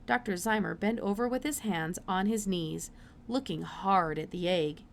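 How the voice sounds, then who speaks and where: A woman reads a children's book aloud in a mid-pitched voice. It is scripted story narration, recorded with noticeable noise behind her. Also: moderate pace, vocal fry. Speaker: storybook reader.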